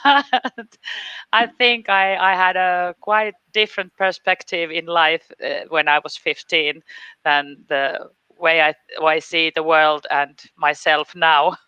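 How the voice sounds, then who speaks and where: A woman chatting casually as an interview guest, on a dull, low-fidelity recording. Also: steady tone, takes it slowly, pushed and bright, smooth, no echo. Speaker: guest, teacher working with immigrants.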